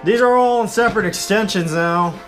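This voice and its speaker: Higher-voiced young man giving a casual walkthrough. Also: brisk, fairly bright tone, faint music behind. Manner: informal, rambling, addresses viewers as guys. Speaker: hobbyist video host. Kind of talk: casual show-and-tell walkthrough.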